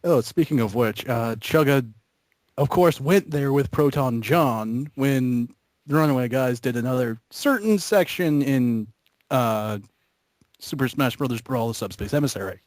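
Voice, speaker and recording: A man rambling casually in a group chat, halting and self-deprecating as he piles one thought onto the next. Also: mid-range voice, lively intonation, darkish tone, dry recording. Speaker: gaming group member.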